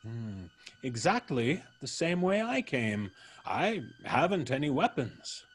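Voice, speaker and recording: Old-time radio mystery visitor: husky, animated intonation, polite and probing, deliberate, a needling threat underneath. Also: male voice, mid-range voice, heavily acted. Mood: probing, courteous. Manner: deliberate, musing with frequent hums, needling. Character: polite, probing, quietly menacing male visitor.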